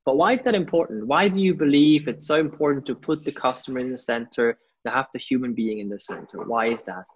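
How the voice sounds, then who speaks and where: A man's voice: medium pitch, fast talker, slight background noise. A man hosting an interview show, speaking with enthusiasm and often repeating himself. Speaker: podcast host. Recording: dull lo-fi audio.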